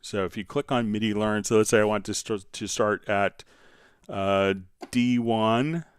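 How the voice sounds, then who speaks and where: A man hosting a live stream, casually explaining software step by step while he demonstrates it. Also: lowish voice, unhurried, clean tone, no echo, studio quality.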